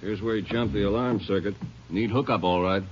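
An actor playing a matter-of-fact ranger partner in a 1950s radio drama. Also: male voice, fairly low pitch, fairly quick, natural voice. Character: practical male ranger partner. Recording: dull lo-fi vintage radio recording with faint hiss.

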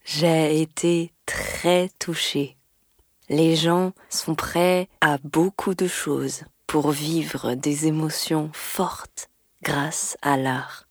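Slow, deep-voiced woman telling her story. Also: fairly even intonation, no echo, clean studio sound. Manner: slow and deliberate, for learners. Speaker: story guest speaking in French.

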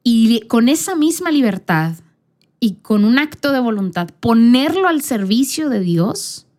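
A woman's voice: fairly high pitch, very animated, unhurried, darkish tone. A woman podcast host giving a casual, personal faith talk, conversational and earnest, speaking directly to the listener. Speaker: podcast host and church singer.

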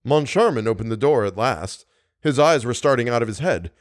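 A man's voice: mid-range voice, lively intonation, brisk, lightly acted. A man voicing a flustered male theatre secretary who reports in a startled rush.

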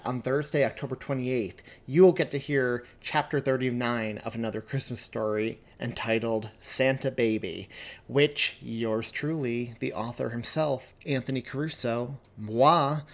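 Enthusiastic man hosting a podcast. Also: medium pitch. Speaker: podcast host. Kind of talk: upbeat scripted podcast announcements.

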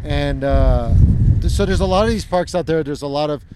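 A man's voice: a little high, moderate pace, background noise. Joking, foul-mouthed male host. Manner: jokey, swears freely, energetic. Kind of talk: casual chat.

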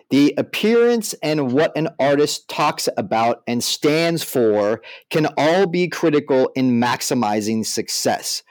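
A man with an average-pitched voice co-hosts a casual discussion podcast. He is informal and makes his points with emphasis, whether talking or reading from an article. The recording is dry, with hardly any room around the voice. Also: darkish tone, dry recording, rough recording. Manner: informal, emphatic, peppered with "like" and "I mean". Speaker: podcast co-host.

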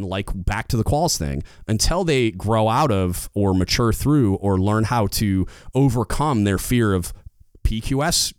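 A man with a loud, booming voice giving casual leadership advice as a podcast host, getting louder when fired up. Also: smooth, dry recording. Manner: gets louder when fired up, rambling restarts.

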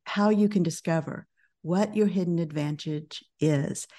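Middle-aged woman guest, calm measured coach, mellow low voice. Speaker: guest, business coach and author. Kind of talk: podcast interview.

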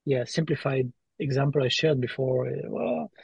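A man's voice: mid-range voice, very animated, moderate pace, creaky, darkish tone, breathy edge, no echo, dull audio. A man hosting a podcast in casual chat, often repeating words and tagging his points with "right?". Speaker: podcast host.

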